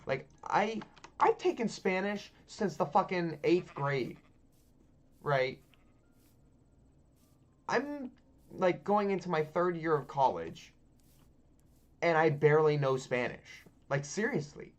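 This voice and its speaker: A man's voice: a little high, sing-song, slow pace, pauses often, highs cut off. Chatty young guy rambling. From Texas. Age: 20s. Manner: loose and chatty, frequent ums and likes, casual swearing. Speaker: gaming channel host. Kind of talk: casual rambling chat over gameplay.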